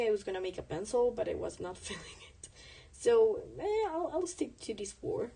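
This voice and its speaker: Husky woman, Spanish native, chatty. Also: mid-range voice, mellow, poor audio. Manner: rambling, self-correcting, chatty. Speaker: artist streaming a drawing session. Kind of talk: casual chat while drawing.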